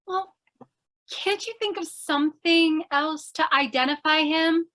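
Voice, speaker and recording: A woman's voice: high voice, a little slow, a bit bright. A woman playing a scatterbrained, chatty, eager young lady reporter, earnest and theatrical, in old-time radio crime comedy style. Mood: fretful, chatty.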